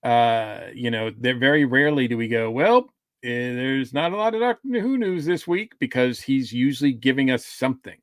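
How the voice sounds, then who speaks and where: A man co-hosting a podcast, giving his opinions casually in a halting, measured way. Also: medium pitch, lively intonation, no echo, studio quality. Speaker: podcast host.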